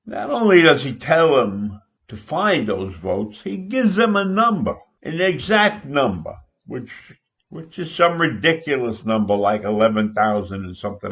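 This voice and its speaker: An older man with an American accent giving a political opinion monologue, deliberate and emphatic, with dramatic pauses. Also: fairly low pitch, a bit bright. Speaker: political commentator.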